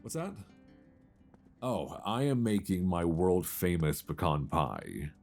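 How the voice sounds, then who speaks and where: Deep-voiced, playful male friend, acted by a man. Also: sing-song, takes it slowly, vocal fry, music in the background, exaggerated voice. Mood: casual, easygoing. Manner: teasing, stammering, chuckling banter. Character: playful, flustered male old friend hosting Friendsgiving, affectionate teaser. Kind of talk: intimate romantic ASMR audio roleplay, casual and conversational.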